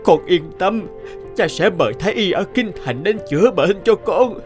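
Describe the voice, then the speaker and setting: An elderly male official for an animated film. He is a devoted, upright father, full of grief and pleading. His pitch runs high and moves very widely, with faint music behind. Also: normal speed. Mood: anguished. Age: older. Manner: emotional, imploring. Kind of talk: animated cartoon dialogue.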